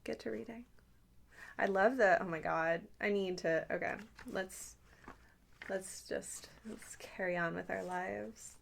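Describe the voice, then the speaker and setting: A woman's voice: mid-range voice, fairly even intonation, unhurried, creaky, a little hiss or hum. A woman chatting casually and intimately to the listener as an ASMR host, with playful, teasing asides.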